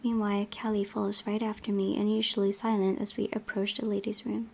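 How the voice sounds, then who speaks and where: Creaky-voiced woman reading game narration. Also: medium pitch, level tone, a little fast, a little mellow. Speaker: gaming channel host. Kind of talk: reading visual novel narration aloud with asides.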